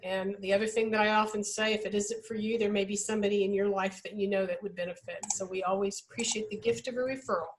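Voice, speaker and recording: A woman's voice: medium pitch, fairly even intonation, quick pace, few pauses, a little hiss or hum, poor audio. A woman admissions advisor answering questions in a webinar over a video call, talking in an emphatic, upbeat, conversational way.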